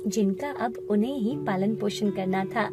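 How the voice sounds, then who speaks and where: A woman's voice: mid-range voice, a little slow, loud music behind the voice. A woman narrating a scripted moral story as the storyteller.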